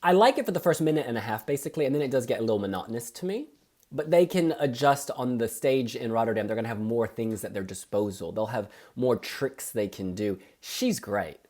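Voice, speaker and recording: A woman co-hosting a casual review chat, talking in an animated, chatty and opinionated way. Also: extremely low pitch, a little fast. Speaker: co-host.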